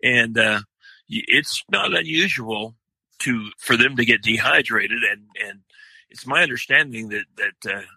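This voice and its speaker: An older man with a deep, loud voice, talking slowly in a podcast interview with many pauses and restarts. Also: animated, gravelly, slightly rough, no echo, low-quality recording. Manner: slow, halting, many fillers and restarts. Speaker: guest, outdoor writer.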